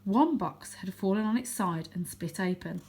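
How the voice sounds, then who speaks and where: A woman narrating a children's book aloud as a storyteller, with expressive delivery. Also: medium pitch, normal speed, a little hiss or hum. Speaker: storybook reader.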